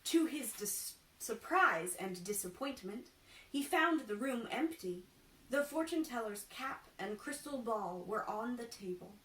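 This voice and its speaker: A woman storyteller reading a children's picture book aloud with careful, deliberate narration. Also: a little high, lively intonation, fairly bright tone. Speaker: storyteller in princess character. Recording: roomy echo, distant microphone.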